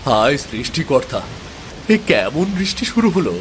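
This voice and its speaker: A man's voice: medium pitch, very animated, moderate pace, slight background noise, natural voice. A man playing a poor village farmer and father in a cartoon moral tale, weary, devout and resigned. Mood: worried, resigned. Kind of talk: animated moral-tale dialogue.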